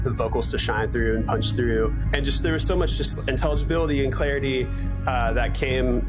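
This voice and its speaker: A man giving a casual interview testimonial as an audio engineer. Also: medium pitch. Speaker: church audio engineer and content producer. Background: background music.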